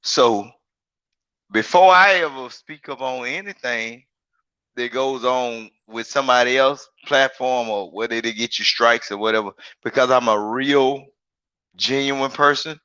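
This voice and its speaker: Guy with a big forceful voice going on and on in a stream chat, dry dull lo-fi sound. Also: mid-range voice, a little slow, clean tone, dry recording. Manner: rambling, emphatic, repeats himself. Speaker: guest. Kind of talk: casual live-stream chat.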